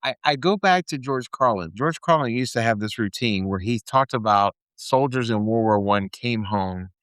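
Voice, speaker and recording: A man co-hosting a podcast chats in a rambling, self-mocking way. His voice sits at a middling pitch. The recording is dry and close to the mic. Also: animated, normal speed, fairly bright tone, smooth, dry recording. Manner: chatty, rambling, self-deprecating. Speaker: podcast co-host. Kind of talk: casual podcast chat.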